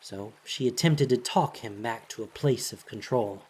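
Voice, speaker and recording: A man's voice: medium pitch, moderate pace, darkish tone, husky. A man reading scripted fantasy narration in a dramatic storytelling style, as the narrator of an audio drama.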